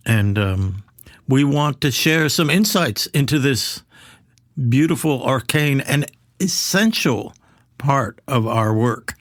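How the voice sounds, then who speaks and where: A man's voice: mid-range voice, animated, slow pace, no echo, clean studio sound. Middle-aged man hosting a podcast. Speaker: podcast host.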